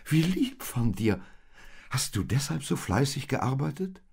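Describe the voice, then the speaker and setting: A man voicing a tender, eager young woman in a storybook tale, with breathy, gushing exclamations. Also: medium pitch, big pitch swings, a little slow, darkish tone, slight background noise, voice pushed up. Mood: touched. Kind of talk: storybook character voice in narrated tale.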